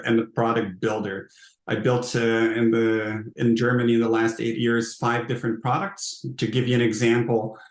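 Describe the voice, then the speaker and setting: A man from Hungary with a Hungarian accent gives an informal conference presentation as a founder, in halting, repetitive phrasing. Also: mid-range voice. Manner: halting, hesitant phrasing with repeated words. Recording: roomy, echoing sound.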